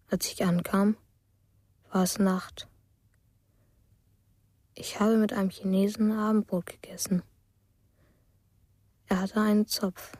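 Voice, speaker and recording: Slow, breathy, dreamy little boy. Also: medium pitch, no room sound, clean studio sound. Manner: hushed, simple, dreamy storytelling. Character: dreamy, lonely little boy telling of secret journeys. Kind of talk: German radio drama monologue.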